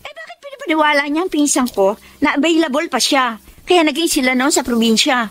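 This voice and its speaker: A high-voiced young woman in a radio drama. She is a sassy student with a brash, blunt, matter-of-fact way of talking, quick to defend her family. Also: sing-song. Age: young adult. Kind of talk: Tagalog radio drama acting.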